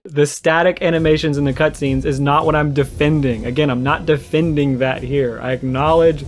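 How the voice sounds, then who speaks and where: A man with a fairly high voice delivers animated commentary for a response video. He argues his case emphatically and explains it point by point, with music playing behind him. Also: normal speed. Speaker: video essayist responding to another creator.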